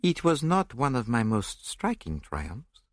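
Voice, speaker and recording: Theatrical, high-pitched French-accented detective. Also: male voice, wildly swooping pitch, moderate pace, fairly bright tone, slightly rough, no room sound, studio quality, exaggerated voice. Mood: crestfallen, questioning. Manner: exclamatory, courtly, oddly phrased English. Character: fastidious, theatrical foreign male detective, fond of dinner.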